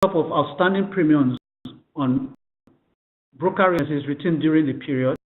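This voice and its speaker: A man's voice: fairly high pitch, normal speed. Man on an echoey, tinny phone line. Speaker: company executive presenting financial results. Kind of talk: formal scripted financial presentation on a conference call. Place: conference call phone line.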